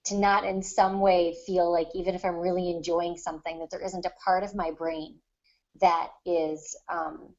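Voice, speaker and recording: Low-voiced woman in thoughtful discussion, muffled audio. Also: level tone, normal speed. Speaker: podcast panelist, writer. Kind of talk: casual discussion of writing craft.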